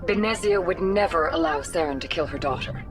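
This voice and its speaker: A woman's voice: deep voice, a little fast, music in the background, close to an everyday voice. An actress playing a formal, diplomatic female galactic councilor in a video game. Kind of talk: video game dramatic dialogue.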